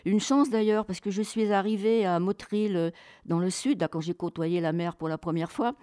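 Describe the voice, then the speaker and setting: A woman telling travel stories as an interview guest, chatty, colloquial and expressive, acting out bits of dialogue. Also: mid-range voice, normal speed, no room sound, studio quality. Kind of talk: animated travel storytelling.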